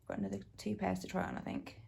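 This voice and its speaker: A woman in her forties, hoarse from a cold, chatting casually in a home vlog recorded on her phone, rambling with plenty of ums and likes. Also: mid-range voice, level tone, very fast, darkish tone. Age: 40s. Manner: rambling, self-deprecating, many ums and likes. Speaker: vlogger. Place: living room at home. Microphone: phone camera.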